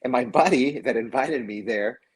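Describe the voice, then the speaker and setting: A man from Mexico speaks as an actor guest in a relaxed interview. His voice has a husky edge. He tells stories with energy and acts out the voices of the people he quotes. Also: medium pitch, normal speed, poor audio. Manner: animated storyteller who acts out quoted voices, laughs often. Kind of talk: casual interview storytelling.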